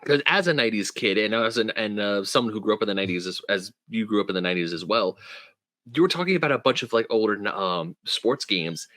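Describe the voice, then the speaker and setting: A man in his thirties hosts a casual gaming podcast, his bright, forward voice tumbling through stories full of restarts and fillers. The sound is close and dry, with no room around it. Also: on the low side, expressive, fast, no room sound. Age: 30s. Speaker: podcast host. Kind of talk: casual chat.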